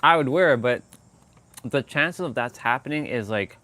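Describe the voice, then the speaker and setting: A man's voice: mid-range voice, lively intonation, normal speed, bright, forceful tone, no echo. A man hosting a casual livestream, rambling with many restarts and fillers and laughing often. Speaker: livestream host.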